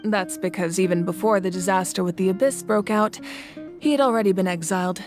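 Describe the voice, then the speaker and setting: A woman playing a calm, thoughtful collector. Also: mid-range voice, close to an everyday voice. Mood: calm, reflective. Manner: measured, reflective storytelling with soft laughs. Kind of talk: video game cutscene dialogue. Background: game music.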